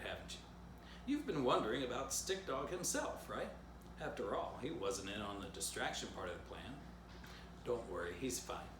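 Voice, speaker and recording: Animated man reading a children's story. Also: medium pitch. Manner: playful asides addressed directly to young listeners. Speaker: author reading own book aloud. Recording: roomy echo, background noise.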